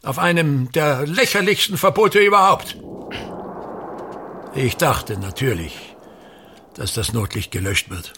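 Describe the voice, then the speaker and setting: An actor playing a pompous, self-pitying aging actor-playwright in a radio drama, declaiming in brooding, halting fragments with grand scorn and a gravelly voice. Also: male voice, medium pitch, big pitch swings, bright, forceful tone, a little hiss or hum, full character voice. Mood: indignant, grand. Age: older. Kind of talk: theatrical radio drama monologue.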